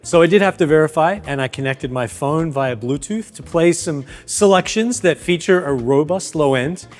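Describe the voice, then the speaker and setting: A man's voice: a little high, animated, normal speed. Upbeat man reviewing audio gear. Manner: upbeat, clear explanatory delivery. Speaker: product reviewer.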